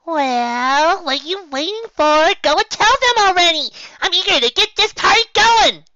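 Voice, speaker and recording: A woman voicing a hyper, bouncy female party pony, loud and impatient, in a dull lo-fi home recording. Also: very high-pitched, expressive, moderate pace, dry recording, pitched above the performer's own voice, exaggerated voice. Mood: eager.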